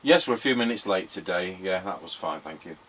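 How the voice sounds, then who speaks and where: A man's voice: sits low, expressive, a little fast, a bit bright. A man giving a casual hands-on demonstration as a radio repairer testing a set.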